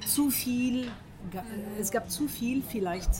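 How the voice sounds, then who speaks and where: A middle-aged woman from France speaking German with a French accent, often searching for words in a casual breakfast conversation, with many pauses and fillers. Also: on the high side, a crowd in the background. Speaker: guest. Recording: breakfast table with a group chatting in the background.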